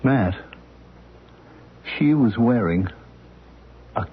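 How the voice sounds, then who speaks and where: An actor playing an anxious husband who stammers and repeats himself, in a radio drama. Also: male voice, mid-range voice, expressive, very slow, breathy edge, exaggerated voice. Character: anxious middle-aged man, husband, frightened and pleading. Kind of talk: 1970s radio drama acting. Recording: muffled lo-fi old radio recording.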